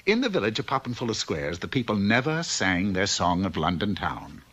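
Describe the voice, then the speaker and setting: A man's voice: fairly low pitch, big pitch swings, normal speed, a bit bright, husky. A man narrating a comic fairy-tale cartoon from the 1960s as a wry storyteller. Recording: dull, noisy old cartoon soundtrack.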